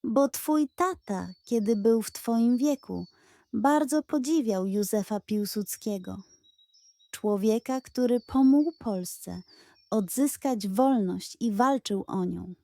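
A woman's voice: a little high, slow pace, mellow, dry recording. A woman voicing a kind, proud old grandfather and former soldier in a children's storybook, speaking earnestly and tenderly. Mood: fond, explaining. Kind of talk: children's audio storybook character.

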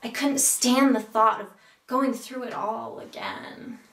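A woman playing a neurotic, melodramatic single woman in a comedic stage monologue, with deliberate dramatic pauses. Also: on the high side, steady tone, vocal fry, fairly bright tone, heavily acted. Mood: anxious, exasperated. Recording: echoey room, distant mic.

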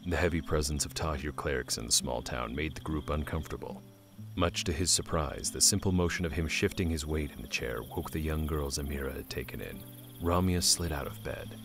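A man's voice: rumbling low, moderate pace, creaky, over music. A man narrating a scripted dramatic story as a storyteller.

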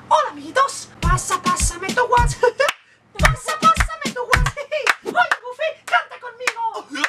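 A man's voice: extremely high pitch, expressive, slow pace, long pauses, full character voice. A man voicing a cheerful cartoon mouse who greets friends and sings. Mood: cheerful, silly. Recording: distant mic, music behind.

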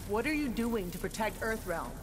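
A woman's voice: fairly high pitch, expressive, normal speed, heavy background noise, natural voice. A woman playing a tough special forces soldier, blunt and no-nonsense, in fighting game dialogue. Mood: defiant. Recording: loud music and effects behind the voice.

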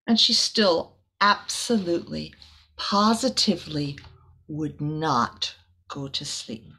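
A middle-aged woman reads a picture book for young children. Her pitch is medium and her pace is slow and deliberate. She tells it expressively and adds casual asides, recorded in a small room. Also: a bit bright.

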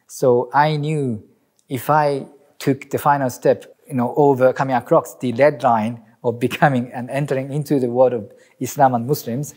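A middle-aged man from Japan speaks English with a Japanese accent, recounting his journey in a calm, halting way. His voice sits at a middle pitch, and he often restarts phrases as he searches for words. It sounds like a recorded interview testimony. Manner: halting, reflective, with restarts and fillers. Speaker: imam.

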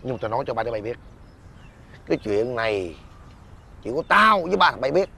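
A man's voice: mid-range voice, sing-song, brisk, creaky, bright, forceful tone, slight background noise, full character voice. An actor with a Southern Vietnamese accent playing an agitated husband and gang boss in a folk-tale comedy drama, stammering and repeating himself, bitter at his friends. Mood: threatening. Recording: music behind the dialogue.

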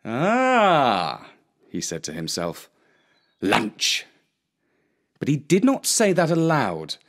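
A man narrating a children's story as storyteller in an expressive, theatrical way. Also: mid-range voice, normal speed.

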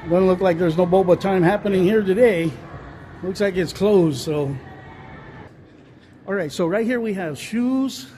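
A man with a fairly high voice narrates a casual tour as he walks through a large indoor mall, filming on a handheld phone. He rambles, trailing off into fillers and restarting his sentences. Faint hum of the mall behind him. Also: warm tone. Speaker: vlogger touring a mall. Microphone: handheld phone camera, speaker off screen.

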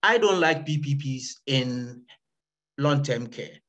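A man's voice: mid-range voice, expressive, slow, a bit bright. A middle-aged man on a video call, stating his view firmly and often repeating words. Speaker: town hall participant. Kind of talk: panel discussion. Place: home room.

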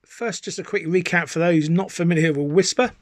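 A man's voice: fairly high pitch, brisk. A middle-aged man with a British accent giving a casual, enthusiastic, rambling explanation as a hobbyist video host. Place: small cluttered home radio room, indoors.

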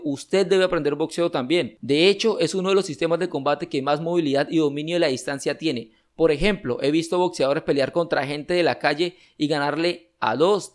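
A young man in his twenties, an olympic wrestler hosting his channel, reacting to viewer comments with emphatic, gesturing argument from a home setup on a boom mic. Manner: emphatic, gestures a lot while arguing. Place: home streaming room with gaming chair. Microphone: studio mic on boom arm, headset.

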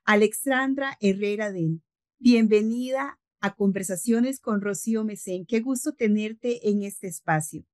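A woman's voice: mid-range voice, unhurried, no echo. Costa rican lady host, gushing and formal, dead dry audio. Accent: Costa Rican Spanish. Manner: warm, effusive, formal. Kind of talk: interview hosting.

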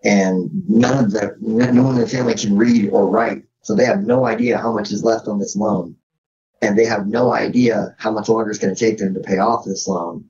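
A man's voice: lowish voice, fairly even intonation, a little fast, darkish tone. American man chatting on slightly muffled audio. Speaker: podcast guest. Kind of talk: casual conversation.

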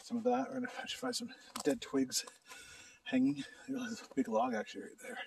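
Gravelly outdoor vlogger man. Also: medium pitch, moderate pace. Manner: relaxed, laughs easily, talks while working. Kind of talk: casual narration while camping. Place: rainy forest and lakeshore outdoors. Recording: outdoor camera audio from a distance, faint noise.

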